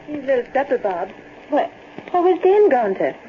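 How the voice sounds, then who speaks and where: Homespun frontier wife, tinny radio sound. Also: female voice, high voice, very animated, moderate pace. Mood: fretful. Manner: homespun rustic speech. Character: trusting, fretful frontier wife in a Western. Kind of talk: old-time radio Western acting. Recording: tinny, hissy old radio recording.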